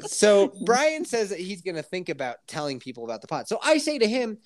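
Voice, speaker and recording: A woman hosting a podcast in casual, profane chat, ranting and laughing loudly, still stuffy from a cold. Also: mid-range voice, huge pitch swings, fairly quick. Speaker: podcast host.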